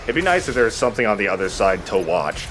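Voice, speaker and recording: A man's voice: on the low side, very animated, fast, noisy background. A man hosting a let's play, giving casual commentary on a video game.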